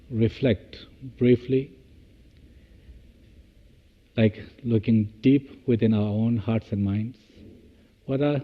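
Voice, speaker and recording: A man leading a guided meditation, speaking in measured, halting short phrases with long pauses. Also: fairly low pitch, breathy edge, in a large hall, narrow, tinny audio. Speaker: meditation teacher.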